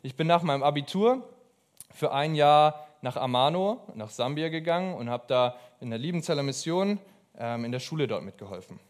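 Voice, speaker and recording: A young man preaching a sermon in an earnest, emphatic way, pausing between phrases. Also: fairly high pitch, expressive. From abroad.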